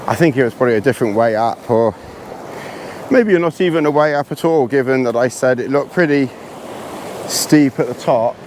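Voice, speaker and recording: A hoarse, congested man chatting casually to camera while hiking outdoors in the hills, rambling and poking fun at himself. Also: mid-range voice, normal speed, background noise. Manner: rambling, self-deprecating, chatty. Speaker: vlogger.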